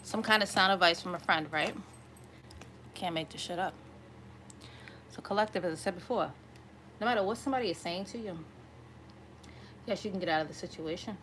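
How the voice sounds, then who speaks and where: A woman with a slightly raspy voice giving an improvised tarot reading to viewers, conversational and often checking in with listeners. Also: fairly low pitch, a little fast, pauses often, fairly bright tone, a little hiss or hum. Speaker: tarot reader hosting an online collective reading.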